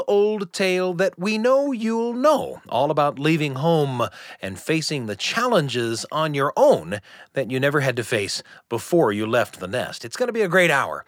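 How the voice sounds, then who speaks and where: A middle-aged man hosting a radio storytelling show, reading a script in an expressive, conversational way. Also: a little high, no room sound, studio quality. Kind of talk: scripted narration. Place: dry studio.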